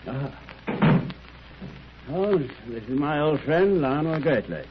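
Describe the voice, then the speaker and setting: A man's voice: on the high side, animated, takes it slowly. An actor playing a genial older English doctor who tells his stories in a chatty, old-fashioned 1930s radio style. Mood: hearty. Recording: muffled, noisy 1930s radio recording.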